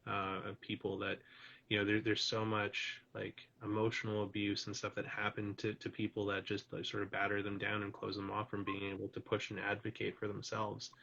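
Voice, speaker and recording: A man's voice: on the low side, completely flat delivery, moderate pace, vocal fry, sharp tone, slightly rough, lo-fi sound. A tired man, losing his voice, hosts a casual live stream chat, rambling loosely with lots of fillers. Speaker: host. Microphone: video call.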